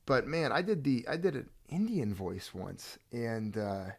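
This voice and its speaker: A man's voice: medium pitch, very animated, unhurried, creaky, darkish tone. A middle-aged man hosting a casual home chat, rambling and joking. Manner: chatty, rambling, jokey. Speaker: channel host.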